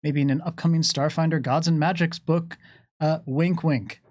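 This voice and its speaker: A man's voice: a little high, fairly even intonation, moderate pace, a little mellow, dry recording, clean studio sound. A man hosting a livestream, chatting casually through viewer questions in a wry, musing way with playful asides. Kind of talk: casual game lore Q&A chat.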